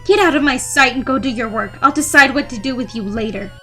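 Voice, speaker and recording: A stern, reclusive pony librarian from a cartoon , acted by a woman. Her voice is high and swings widely in pitch as she talks bluntly in a folksy way, over background music. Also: expressive, a little fast, pushed and bright. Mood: stern, scolding. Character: stern, gruff, secretly soft reclusive female pony librarian. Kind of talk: comic dub cartoon acting.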